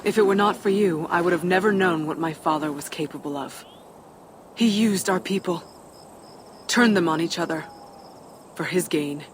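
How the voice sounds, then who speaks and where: A woman's voice: fairly low pitch, moderate pace, pauses often, close to an everyday voice. Woman as a proud giant princess in a game cutscene, cool n wry, defiant, bit of background noise. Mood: cool resolve. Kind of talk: dramatic video game cutscene acting.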